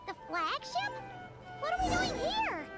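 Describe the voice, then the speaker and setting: A woman voicing a tiny, childlike fairy companion in a video game, squeaky, whiny and exclaiming. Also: wildly swooping pitch, a little slow, over loud music, full character voice. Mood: puzzled, indignant. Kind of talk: cartoonish video game voice acting.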